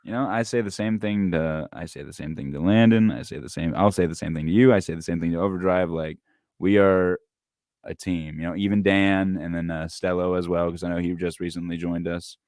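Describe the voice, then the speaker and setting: A man's voice: low-pitched, steady tone, a little fast, darkish tone, no room sound, studio quality. A man in his twenties co-hosting a podcast, chatting casually and laughing often, swearing freely. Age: 20s. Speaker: podcast co-host, musician. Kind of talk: casual chat.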